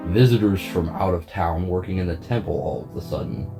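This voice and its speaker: A man's voice: low-pitched, gravelly, music in the background. An actor playing a sly courtier in an audio drama, deferential and full of hints as he reports to his queen. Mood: wary, hinting. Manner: deferential, hinting, deliberate. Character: sly, insinuating male courtier informant to a queen. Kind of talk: scripted audio drama acting.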